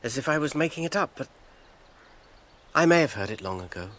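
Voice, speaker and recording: An actor with an English accent playing a thoughtful, wistful hobbit in a radio drama, reflective and measured. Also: male voice, a little high, expressive, a bit bright. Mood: musing.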